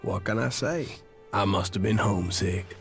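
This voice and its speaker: An actor playing a wary former gang outlaw in a video game, rueful, halting and fatalistic. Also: male voice, on the low side, faint music behind, natural voice. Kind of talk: video game character dialogue.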